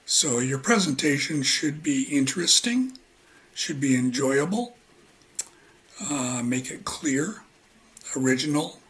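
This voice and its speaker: Male English teacher, husky voice, unhurried, halting lecture delivery. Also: medium pitch, lively intonation, fairly bright tone, poor audio. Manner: slow, halting, with many ums and uhs. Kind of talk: relaxed spoken lecture.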